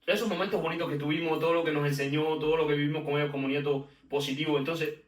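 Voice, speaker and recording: A man with a fairly high voice hosts a podcast and talks quickly and earnestly. He is set back from the mic in a room with a lot of echo. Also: steady tone. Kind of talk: podcast conversation. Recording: echoey room, distant mic.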